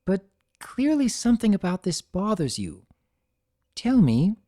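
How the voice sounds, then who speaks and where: A performer voicing an earnest, concerned young female pony in a cartoon fan story, gently questioning a friend. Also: mid-range voice, sing-song, unhurried, pitched above the performer's own voice. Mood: gentle.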